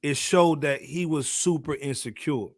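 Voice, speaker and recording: A man hosting a podcast, tossing questions to the panel in slangy, excitable talk. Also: fairly high pitch, measured pace, no room sound, clean studio sound. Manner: animated, restarts to grab the floor. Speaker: podcast host posing questions. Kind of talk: casual slangy chat.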